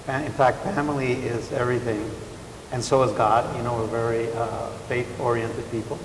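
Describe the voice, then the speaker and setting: A man's voice: medium pitch, normal speed. Joking Cuban-born man in a reverberant hall. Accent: blended Caribbean and Central American Spanish. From Cuba, raised in Miami. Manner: relaxed, joking, many fillers and tag questions. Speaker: panelist. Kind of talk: panel discussion. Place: large event hall. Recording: echoey hall, faint hiss.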